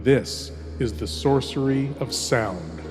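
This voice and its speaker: A man hosting a horror radio show, narrating a scripted introduction in a slow, hushed and theatrical way. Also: mid-range voice, music in the background. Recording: long reverb, as in a hall.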